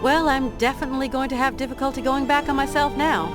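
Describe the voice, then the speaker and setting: A woman's voice: high voice, fairly even intonation, fast, music in the background, close to an everyday voice. An actress playing a determined, practical young woman adventurer in a video game, speaking expressively. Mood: musing. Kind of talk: video game character acting.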